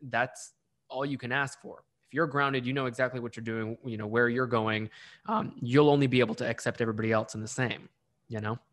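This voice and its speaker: A podcast host in his late twenties, a man who speaks in a friendly, reflective way. His voice sits at an ordinary middle pitch, and his tone stays casual and conversational. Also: fairly even intonation, brisk, fairly bright tone. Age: 20s. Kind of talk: conversational interview.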